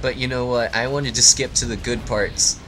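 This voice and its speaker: A man improvising a mock fairy tale as commentary, with halting, theatrical narration. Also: mid-range voice, steady tone, background noise. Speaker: let's play commentator and storyteller.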